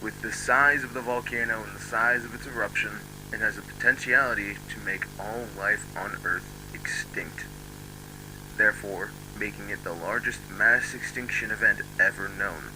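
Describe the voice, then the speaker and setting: A man playing a grave, formal emergency broadcast announcer, reading solemnly and deliberately from an analog horror script. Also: on the low side, noisy background, lightly acted. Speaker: emergency alert narrator.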